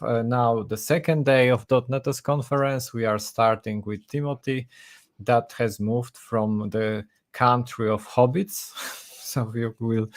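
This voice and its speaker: A man hosting a live conference stream, speaking in halting English with many restarts. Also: mid-range voice. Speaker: conference host.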